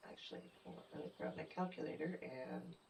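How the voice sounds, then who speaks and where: A woman with a stuffy, blocked-up nose talks her way through a game, thinking out loud and now and then sinking into a low mutter. Her voice has a gravelly, fry-tinged edge. The mic sits a little away from her, with faint birdsong from the game behind her. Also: a little fast, far from the mic, slight background noise, rough recording. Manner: thinks aloud, muttering and half-whispering while working things out. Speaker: gameplay streamer. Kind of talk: casual let's play commentary.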